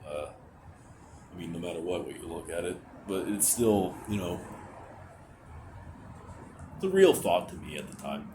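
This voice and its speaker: A young man with a very low, deep voice talks straight to his camera, hesitant and rambling. He sounds anxious and sighs often, with casual swearing. A steady hiss sits under the recording. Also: moderate pace, long pauses. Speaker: vlogger. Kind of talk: candid venting to camera. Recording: noticeable background hiss.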